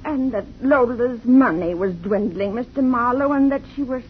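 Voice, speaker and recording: Flustered tearful spinster voiced by a woman, high and fussy, old radio sound. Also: normal speed, a little hiss or hum, natural voice. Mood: distraught. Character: fluttery distraught older spinster friend. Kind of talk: 1950s radio drama acting. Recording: dull lo-fi 1950s radio broadcast.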